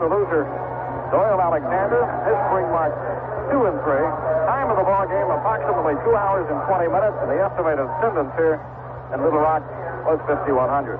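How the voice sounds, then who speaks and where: American man, baseball play-by-play, slightly high voice, very noisy 1970s radio sound. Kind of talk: 1970s live radio sports commentary. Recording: noisy, tinny vintage AM radio broadcast.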